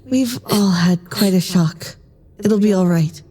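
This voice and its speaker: Actress as a slow, mellow, concerned spacewoman. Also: medium pitch, husky. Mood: soothing. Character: soothing, concerned female spacecraft crew member. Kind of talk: audio drama acting.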